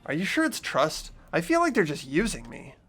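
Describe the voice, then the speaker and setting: A man's voice: on the high side, wildly swooping pitch, moderate pace, fairly bright tone, breathy edge, faint music behind, full character voice. A man voicing a mild, hesitant, put-upon teenage boy in a game playthrough. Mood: reluctant. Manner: hesitant, questioning. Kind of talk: voiced visual novel game playthrough.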